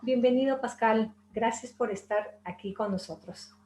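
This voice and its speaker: Woman host, casual and filler-heavy; slightly high voice, roomy echo with faint noise. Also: normal speed, darkish tone. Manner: chatty, many fillers. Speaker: host, hypnotherapist. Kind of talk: casual webinar introduction. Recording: echoey room, faint noise.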